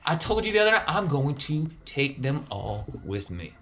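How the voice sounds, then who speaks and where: A man voicing a gruff, fatalistic crime boss in a tabletop game, talking in blunt, combative, folksy idiom. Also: a little high, big pitch swings, normal speed, noisy background, pitched above the performer's own voice. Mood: defiant, bitter.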